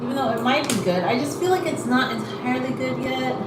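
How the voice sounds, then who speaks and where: A woman's voice: high voice, fast, echoey room, distant mic, heavy background noise. A woman chatting casually in a rambling way with many fillers while she combs out her hair. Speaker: vlogger.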